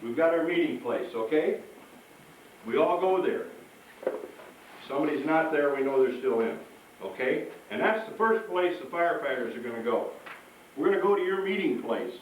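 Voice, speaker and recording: A man's voice: fairly high pitch, lively intonation, normal speed, long pauses. A man presenting as a firefighter, giving a folksy, instructive safety talk to children and often checking in with them. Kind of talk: interactive safety talk to schoolchildren. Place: large echoey room with an audience. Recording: very echoey room, distant, faint noise.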